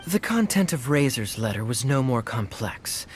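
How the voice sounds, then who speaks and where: A man playing a suave young adventurer in a video game, sly and playfully sardonic, with a smooth theatrical manner. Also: medium pitch, big pitch swings, moderate pace, slight background noise, close to an everyday voice. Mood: wry explaining. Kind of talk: video game cutscene acting. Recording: music playing behind the voice.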